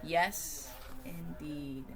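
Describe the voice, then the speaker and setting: Expressive woman reading a children's story. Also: deep voice, extremely slow, crowd noise. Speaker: storybook reader. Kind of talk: expressive children's read-aloud narration.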